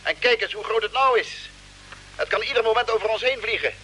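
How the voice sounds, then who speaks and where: A man's voice: sits high, fairly quick, sharp tone, poor audio. A male actor playing an alert, urgent spaceship lookout in a radio play. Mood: tense. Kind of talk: mid-century radio play acting. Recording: old radio recording with faint noise.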